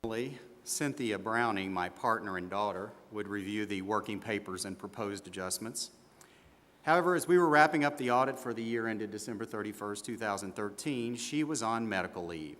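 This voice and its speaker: A man's voice: lowish voice, lightly acted. A man playing an older small-firm accountant, confident and a little defensive, answering questions in a scripted role-play. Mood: matter-of-fact. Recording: echoey hall, steady background room noise.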